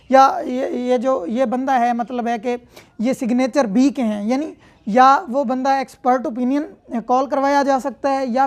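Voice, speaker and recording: Pakistani lady teaching law, really high voice, explains stuff step by step and repeats it. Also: a little mellow. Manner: didactic, repeats points and checks understanding. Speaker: law lecturer. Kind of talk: explanatory lecture mixing read-out statute with commentary.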